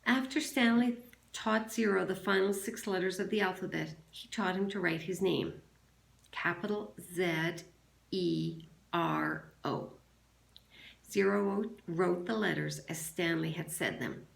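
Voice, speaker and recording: A woman teacher reading a children's novel aloud to a class in a measured, deliberate way. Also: lowish voice, creaky. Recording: roomy, echoing sound.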